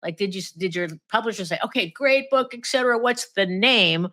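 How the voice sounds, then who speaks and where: A woman hosts an interview with a bright, clear voice. She is curious and chatty, and keeps the talk moving with quick questions and affirmations. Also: mid-range voice, animated. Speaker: podcast host. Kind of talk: interview hosting.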